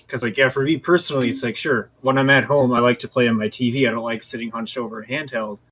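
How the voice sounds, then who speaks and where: A man's voice: mid-range voice, fairly even intonation, fast talker, fairly bright tone, slight background noise. A man co-hosting a gaming podcast, talking in a casual, conversational style. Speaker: podcast co-host.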